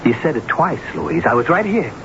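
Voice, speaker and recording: An actor playing an earnest, nervous husband in a radio drama, speaking and narrating in a hesitant, halting way. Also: male voice, on the high side, sing-song, background noise. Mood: pleading, insistent. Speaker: actor and first-person narrator. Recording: muffled vintage radio broadcast, music behind.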